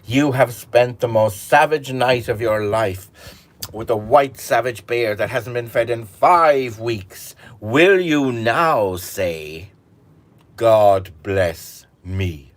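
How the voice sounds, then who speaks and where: Loud bossy fairy tale king as a storyteller guy puts him on, drawls his orders real slow, voice jumps way up. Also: lively intonation. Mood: booming, imperious. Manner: booming, drawn-out commands. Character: pompous, short-tempered male king.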